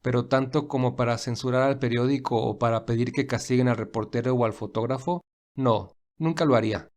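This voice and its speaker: A man playing a reserved young millionaire in an audio story, speaking in a measured, earnest, formal way. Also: mid-range voice, darkish tone, natural voice. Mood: firm, earnest. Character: William, reserved young millionaire, weary and principled.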